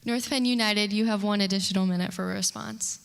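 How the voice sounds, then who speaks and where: A woman moderating a town hall, briskly giving time for responses. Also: medium pitch, steady tone, vocal fry, clean tone. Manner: brisk, procedural. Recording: reverberant hall.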